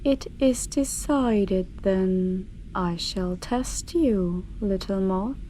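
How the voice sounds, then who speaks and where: Very slow, haughty ancient dragoness, acted by a woman. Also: medium pitch, dark tone, slight background noise. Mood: pondering, testing. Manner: grandiose, archaic, deliberate, breathy whispered passages. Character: ancient female dark dragon, eldritch, haughty, menacing, contemptuous. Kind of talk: slow ASMR fantasy monologue.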